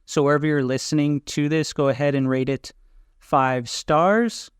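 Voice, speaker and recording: A man's voice: fairly high pitch, fairly even intonation, moderate pace, clean tone, dry recording, clean studio sound. A man hosting a podcast interview, asking scripted questions in an upbeat way. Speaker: podcast host.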